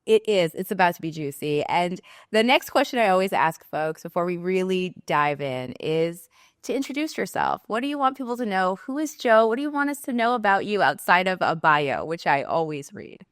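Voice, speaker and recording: A woman hosts a podcast, speaking with eager, gushing enthusiasm. Her voice sits a little low and her phrasing is animated. The recording is dry and close, with no room sound. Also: moderate pace, no room sound. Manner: enthusiastic, effusive. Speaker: podcast host. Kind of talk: casual interview chat.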